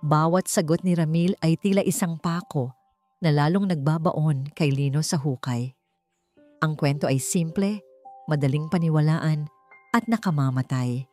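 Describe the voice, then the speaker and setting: A woman with a Filipino accent narrating a dramatic story as an audiobook, in a measured, suspenseful way. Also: low-pitched, dark tone. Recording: voice over background music.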